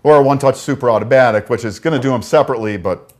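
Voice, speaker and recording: A man's voice: medium pitch, very fast, darkish tone. A man co-hosting a live stream, chatting casually about coffee machines and pushing a sale with easy laughs. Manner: easygoing, laughs often, pushes the sale. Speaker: co-host of live product stream.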